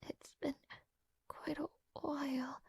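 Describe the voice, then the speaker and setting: A woman playing a frail, gentle young princess in an intimate fantasy audio roleplay, speaking in a breathy, hesitant, softly formal way. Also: sits high, fairly even intonation, takes it slowly, no room sound, studio quality, heavily acted. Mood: weak, grateful, tender. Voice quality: breathy, whispery.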